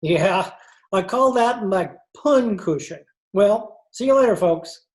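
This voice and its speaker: A woman's voice: mid-range voice, animated, slow, a little mellow. A woman playing a jovial, punning salesman in an old-time radio comedy. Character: chummy, punning wax salesman, male.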